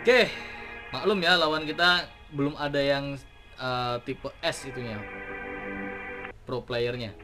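A man in his thirties giving excited play-by-play on a mobile game as he plays, cheering on his units, with a slight cough. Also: mid-range voice, takes it slowly, long pauses. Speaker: gaming YouTuber. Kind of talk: mobile game commentary and tutorial. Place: indoor room at a desk. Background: game music.

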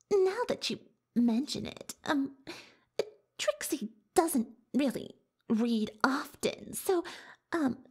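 A woman's voice: high-pitched, heavily acted. A woman playing a pompous, defensive unicorn pony magician turned librarian in an ASMR roleplay, hesitant when cornered. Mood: flustered, evasive.

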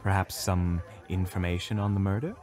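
A man's voice: low voice, steady tone, moderate pace, a little mellow, close to an everyday voice. A man playing a reserved, serious traveling swordsman with a formal, restrained manner. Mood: guarded. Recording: music bed under the voice.

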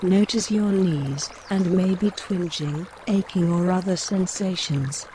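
A woman guiding a mindfulness body scan, giving gentle, measured instructions with pauses between phrases. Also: lowish voice, background noise. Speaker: meditation guide. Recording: running stream water behind voice. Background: trickling woodland stream.

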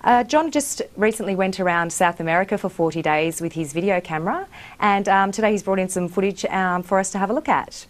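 Young woman, talk show host, upbeat, quick reactions, lapel mic, small studio. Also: mid-range voice. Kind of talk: studio interview. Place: small studio with curtain backdrop.

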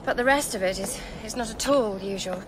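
An actress playing an eager, anxious, chattering companion in a radio drama. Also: female voice, medium pitch, fairly quick, background noise, close to an everyday voice. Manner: effusive, chattering. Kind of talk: British radio drama acting.

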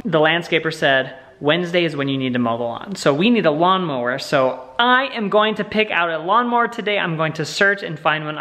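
A man's voice: a little high, sharp tone. A young American man chatting in an upbeat, playful way to a camera held at arm's length indoors, as a family vlogger. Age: young adult. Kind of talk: casual, chatty vlog talk to camera. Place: indoors at home.

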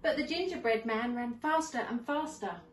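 A woman in her forties reading a storybook to young children as a teacher, expressively and deliberately. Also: fairly high pitch. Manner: deliberate, animated. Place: living room at home. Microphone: camera a few metres away. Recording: roomy, distant camera microphone.

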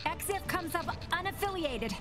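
A woman's voice: very high-pitched, expressive, normal speed. A woman playing an alert, professional convoy security operative in a video game, giving clipped, urgent reports. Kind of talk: video game cutscene acting. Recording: loud music behind the voice.

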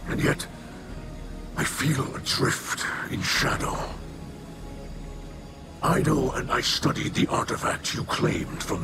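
A man playing a solemn, troubled alien captain in a video game, with a gravelly voice and grave, formal, halting speech. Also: medium pitch. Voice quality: gravelly, creaky. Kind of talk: scripted video game dialogue. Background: music.

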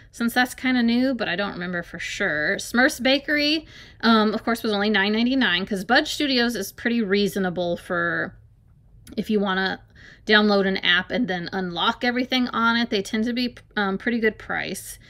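A young adult woman reviewing tablet game apps in a relaxed, hesitant way, filling pauses with um and like while filming her screen at home. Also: medium pitch. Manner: hesitant, many ums and likes. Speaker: app reviewer. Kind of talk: casual screen-recorded app review. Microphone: phone or camera filming a tablet screen.

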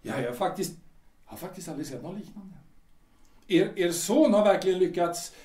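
An actor playing an irritable, domineering café owner in an audio drama, halting when cornered. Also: male voice, high-pitched, lively intonation, mellow. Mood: grudging. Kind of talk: Swedish radio drama dialogue. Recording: echoey room, slightly far from mic.

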